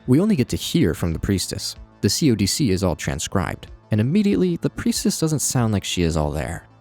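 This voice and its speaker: A man with a low voice narrates a video essay as its host. His commentary is conversational and animated, with wry asides, over music. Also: brisk.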